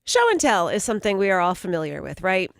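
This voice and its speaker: A woman hosting morning radio, telling offbeat news stories in a brisk, amused way. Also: mid-range voice, very animated, vocal fry, a bit bright, no echo, clean studio sound.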